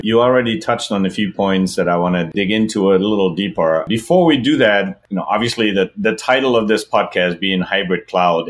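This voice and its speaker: A man hosting a tech podcast, asking long, relaxed questions and thinking aloud. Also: deep voice. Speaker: podcast host.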